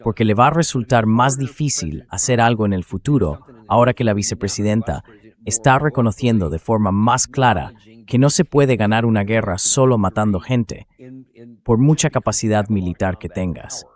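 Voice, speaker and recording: A man's voice: fairly low pitch, normal speed, a little mellow. A man's synthetic AI voice reading a translated political commentary as a voice-over.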